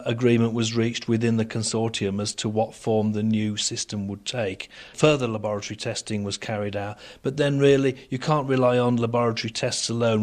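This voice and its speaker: A man speaking as an expert guest in a radio interview, explaining things in a measured, formal and articulate way. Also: lowish voice. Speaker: interviewed expert on broadcast technology.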